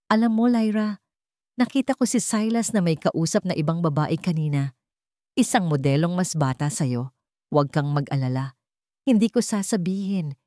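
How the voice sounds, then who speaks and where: A woman's voice: on the low side, moderate pace, dry recording, lightly acted. Woman acting a cool, vengeful wife, dry sound. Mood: falsely sweet. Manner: calm with a hidden sharp edge. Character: vengeful wronged wife, coolly poised and menacing.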